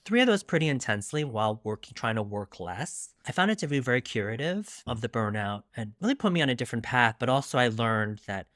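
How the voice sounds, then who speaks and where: A man's voice: medium pitch, sing-song, fast talker, few pauses, a bit bright, no room sound, studio quality. A man in his late forties answering interview questions conversationally as a debut novelist, reflective and a little self-deprecating.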